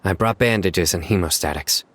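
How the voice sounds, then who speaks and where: A man playing a stern, dutiful, composed law enforcement commander in a video game, measured and matter-of-fact. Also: low voice, fairly bright tone, a little hiss or hum. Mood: businesslike.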